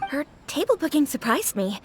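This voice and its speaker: A woman's voice: high voice, very animated, normal speed. A woman playing a chatty, down-to-earth restaurant owner in a video game, confiding and hospitable. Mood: friendly, chatty. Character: friendly, down-to-earth woman running a neighbourhood restaurant. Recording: clean studio voice under game music. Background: game background music.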